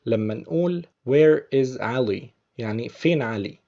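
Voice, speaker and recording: A man with an Egyptian accent teaching English, patiently repeating film lines slowly before explaining them. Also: mid-range voice, animated, warm tone, muffled recording. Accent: Egyptian Arabic.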